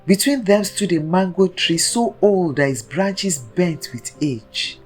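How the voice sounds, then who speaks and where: A woman storyteller narrating a scripted folktale in a dramatic, deliberate manner. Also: on the low side, lively intonation, darkish tone, soft background music.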